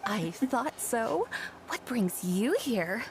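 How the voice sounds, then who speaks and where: Poised young boutique designer, highish voice. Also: female voice, very animated, drawn-out words, background noise, exaggerated voice. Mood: composed, friendly. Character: poised young female fashion designer and shopkeeper. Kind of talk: video game voice acting.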